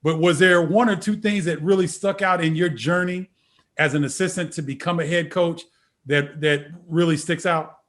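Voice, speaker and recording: A man's voice: a little high, steady tone, moderate pace, fairly bright tone. A man hosting an interview show, asking long questions and giving short affirmations. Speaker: show host.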